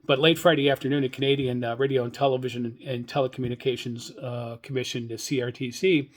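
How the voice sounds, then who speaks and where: An older man hosting a hobbyist podcast, giving informal solo news commentary in a hesitant, halting, rambling way. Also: medium pitch, fairly even intonation. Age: older, 60s or above. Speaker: radio hobbyist podcast host.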